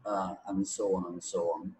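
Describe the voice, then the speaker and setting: A middle-aged man giving opinionated, deliberate answers with long pauses in a conversational interview. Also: sits low, little pitch movement, darkish tone, rough recording. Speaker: English teaching expert being interviewed.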